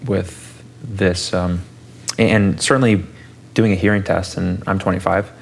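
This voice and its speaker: Podcast host, man in his 30s, very low gravelly voice, easygoing and curious. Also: steady tone, unhurried, slight background noise. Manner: thinks aloud with restarts, asks curious questions. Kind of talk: casual conversational interview.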